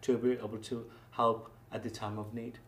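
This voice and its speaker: A man's voice: mid-range voice, flat delivery, moderate pace, distant mic. A man hosting a community podcast interview, often summing up what the guest says and adding short agreements. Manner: frequent short backchannels, summarizing the guest. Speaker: podcast host. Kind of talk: conversational interview. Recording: echoey room, mic set back, faint noise.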